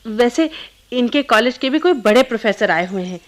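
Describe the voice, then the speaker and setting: Actress as high-pitched, sharp-tongued young wife. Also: female voice, lively intonation, moderate pace, lightly acted. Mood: nervous. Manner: dramatic, exclaiming, stammering when flustered. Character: sharp, scolding young wife, flustered then bossy. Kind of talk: Hindi radio play acting.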